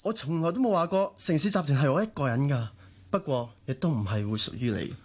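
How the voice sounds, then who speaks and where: An actor playing an angrily defiant young company boss held captive, strained and husky, in a Hong Kong Cantonese radio drama. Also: male voice, a little high, animated, fast talker, a little hiss or hum, close to an everyday voice. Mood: defiant anger.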